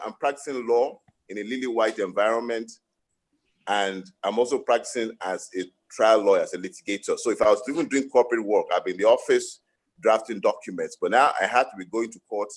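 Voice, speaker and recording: A middle-aged man from Nigeria, a lawyer speaking on a panel and telling reflective stories with frequent restarts. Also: lowish voice. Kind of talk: panel discussion.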